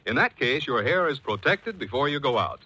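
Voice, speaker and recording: A man's voice: mid-range voice, sing-song, fairly quick, sharp tone, low-quality recording. An American man announcing a 1940s radio show and its commercials with polished, emphatic salesmanship.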